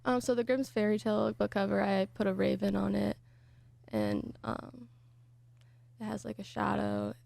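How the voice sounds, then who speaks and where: Gravelly-voiced shy young woman. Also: mid-range voice, lively intonation, moderate pace, a little mellow, no room sound. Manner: shy, trails off with fillers. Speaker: graphic design student. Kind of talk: interview answers.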